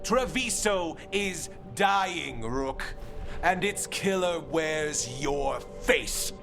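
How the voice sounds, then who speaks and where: Treacherous governor, higher-pitched male voice, slow and theatrical, bitter, self-righteous, fantasy game drama, music behind. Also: expressive, gravelly, exaggerated voice. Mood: bitter, accusing. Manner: theatrical, sarcastic, measured. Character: scheming, bitter traitorous city governor, self-righteous villain. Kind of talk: dramatic video game voice acting.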